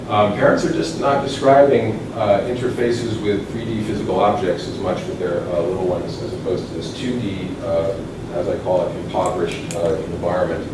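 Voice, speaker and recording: Man presenting casually, lowish voice, distant noisy echoey room. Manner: informal, rambling with fillers and restarts. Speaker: conference presenter. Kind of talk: academic conference talk. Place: conference session room.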